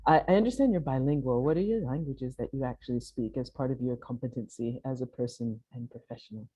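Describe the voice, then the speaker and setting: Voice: mid-range voice, fast, dark tone, slightly rough, no echo. A panel moderator speaking courteously and encouragingly while hosting a discussion. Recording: dry, muffled, dull sound.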